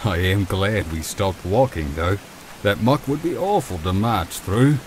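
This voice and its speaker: A man's voice: fairly low pitch, expressive, unhurried, a little hiss or hum. A man voicing a modest, earnest young male healer in a visual novel, mild and formal in his phrasing. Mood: upbeat. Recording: loud music and rain behind. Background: game music and rainfall.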